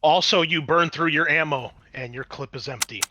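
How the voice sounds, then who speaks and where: A man's voice: a little high, normal speed, noisy background. A man acting as game master, casually explaining rules and narrating a tabletop game.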